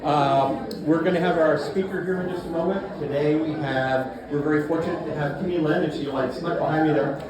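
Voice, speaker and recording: Man hosting in a boomy hall. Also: fairly even intonation, crowd noise. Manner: brisk, casual, uses fillers like "so". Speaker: event host. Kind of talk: introducing a speaker. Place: event space. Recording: echoey room from far off, noisy.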